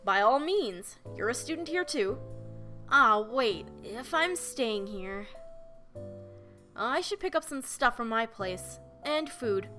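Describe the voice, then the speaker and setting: A polite, bookish young male geologist, voiced by a woman, lecturing in a measured and tidy way. The voice sits high and bright, with a touch of nasal stuffiness from a cold. Soft music plays faintly behind. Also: lively intonation, exaggerated voice. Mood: friendly.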